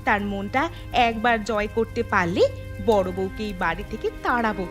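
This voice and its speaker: A woman's voice: mid-range voice, moderate pace, natural voice. A woman playing a jealous, scheming younger daughter-in-law in a cartoon, with a sneering, gloating, theatrical delivery. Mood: vengeful. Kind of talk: Bengali moral-tale cartoon acting. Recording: music under the voice.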